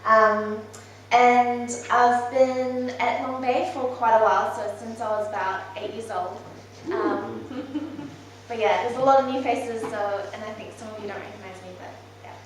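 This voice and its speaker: A young woman gives a presentation to her church, her voice sitting a little high. She talks informally with plenty of ums. The recording is heard from a distance across a very echoey hall. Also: fairly even intonation, normal speed, slight background noise. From Malaysia, raised in New Zealand. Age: 20s. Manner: informal, with frequent ums and soft laughs. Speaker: church speaker presenting her mission work. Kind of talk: presentation talk to a church congregation.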